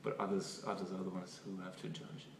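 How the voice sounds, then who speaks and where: A man's voice: deep voice, little pitch movement, gravelly. Echoey, distant man with a German accent. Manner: halting, thoughtful, repeats words. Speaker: interviewee. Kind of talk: spoken interview answers. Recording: echoey room, far from mic, noisy.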